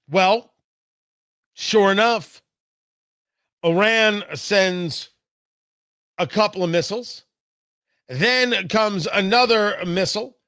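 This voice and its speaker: A man hosting a talk radio show, delivering an opinionated political monologue theatrically, with emphatic repeats and dramatic pauses on a close studio mic. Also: high voice, pushed and bright, no echo. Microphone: close studio microphone.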